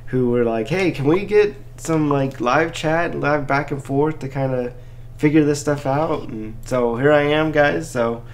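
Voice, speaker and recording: A man's voice: a little high, a little slow. A man hosting a casual live chat, talking in an informal, encouraging and slangy way as he helps listeners out. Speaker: live Q&A host, crypto mining helper.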